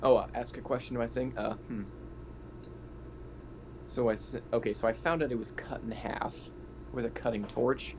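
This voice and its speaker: A man's voice: medium pitch, steady tone, normal speed, long pauses. A man playing a tabletop roleplaying game, talking casually and tentatively, thinking aloud. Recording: clearly noisy hobby game recording.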